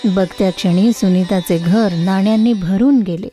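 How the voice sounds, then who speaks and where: A woman's voice: mid-range voice, moderate pace, warm tone. A woman narrating a scripted moral tale for children as a storyteller. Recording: music behind the voice. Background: background music.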